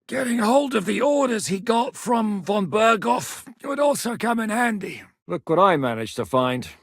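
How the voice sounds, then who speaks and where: A brash war captain. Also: male voice, high-pitched, big pitch swings, moderate pace, gravelly, no echo, studio quality, heavily acted. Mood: calm. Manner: barks battle orders, brash and blunt. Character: gruff seasoned male war captain, commanding. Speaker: military commander. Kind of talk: video game voice acting.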